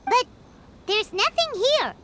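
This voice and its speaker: Game role: tiny childlike fairy girl, extremely high, animated, chirpy and easily startled. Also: slow pace, a little hiss or hum, exaggerated voice. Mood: curious. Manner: chirpy, exclamatory, speaks of self in third person. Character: tiny, childlike, excitable, skittish floating fairy companion girl. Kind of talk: video game character acting.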